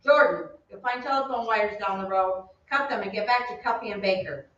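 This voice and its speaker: An actor playing a brisk, commanding male army sergeant with clipped, authoritative delivery in a staged old-time radio drama. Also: mid-range voice, a bit bright, lightly acted. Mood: brisk orders. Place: theatre stage. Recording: very echoey, distant, dull, faintly noisy stage.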